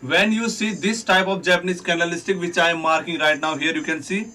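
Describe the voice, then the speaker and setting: A man with a slightly high voice walks viewers through trading charts on a live stream. He sits back from the mic, so he sounds a little distant, and faint music plays underneath. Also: fairly quick, pushed and bright. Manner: talks viewers through charts while reading chat.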